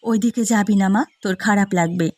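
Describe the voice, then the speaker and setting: A woman playing a poor, gentle, worried village mother in a fairy-tale cartoon, speaking tenderly and sorrowfully. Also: mid-range voice, a little fast, close to an everyday voice.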